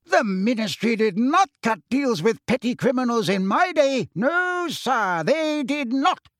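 A man's voice: extremely high pitch, sing-song, measured pace, a bit bright, no room sound, studio quality, voice pushed up, exaggerated voice. A man voicing an indignant old wizard in a portrait who roars his outrage. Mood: outraged roar. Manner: bellowing outrage.

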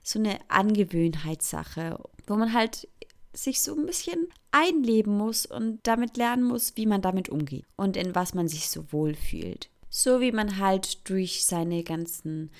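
Young woman, Swiss German Thurgau accent, casual vlog monologue, candid, self-mocking, middle pitch. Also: animated, unhurried, a little mellow. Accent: Swiss German, Thurgau mixed with Zurich. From Thurgau, Switzerland. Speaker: vlogger.

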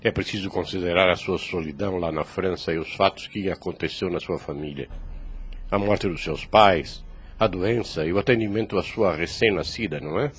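An actor playing a calm, shrewd priest in his fifties in a radio drama, speaking formally and paternally with a Brazilian accent. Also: sits low, normal speed, lightly acted. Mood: gentle, reassuring. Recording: clear background noise.

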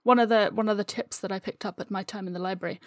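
A woman chatting casually as an interview guest, explaining things in an animated way. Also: medium pitch, racing, no echo, clean studio sound. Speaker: podcast guest, audio fiction writer and editor.

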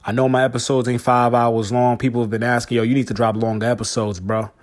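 A man's voice: mid-range voice, little pitch movement, quick pace. Laid-back slangy man hosting a podcast. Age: 40s. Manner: laid-back, slangy, talks straight to listeners. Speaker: podcast host. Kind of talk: casual solo podcast monologue.

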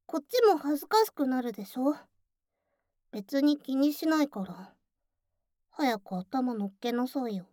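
A voice actress playing a curt, teasing young childhood friend who works as a cafe maid, in an ASMR audio drama. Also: female voice, high-pitched, animated, unhurried, long pauses, warm tone, no echo, studio quality. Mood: teasing, flustered. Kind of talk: Japanese ASMR situation voice drama.

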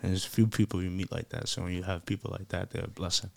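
Deep gravelly guy, musician doing a casual interview, slangy, super sure of himself, says stuff twice. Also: little pitch movement, quick pace, darkish tone. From United States. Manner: emphatic, self-assured, repeats phrases.

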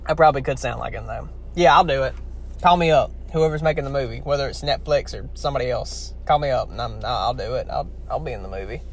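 A man's voice: mid-range voice, quick pace, slight background noise. A young man from Louisiana with a heavy Southern accent, chatting casually and rambling to his viewers. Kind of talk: casual talk to camera.

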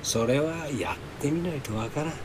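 An actor playing a gruff middle-aged man in a radio drama, speaking in blunt, terse, old-fashioned masculine phrases. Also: fairly high pitch, expressive, normal speed, noisy background, close to an everyday voice. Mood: blunt, reflective. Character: gruff hardboiled middle-aged man, a reluctant spirit medium. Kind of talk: radio drama dialogue and narration.

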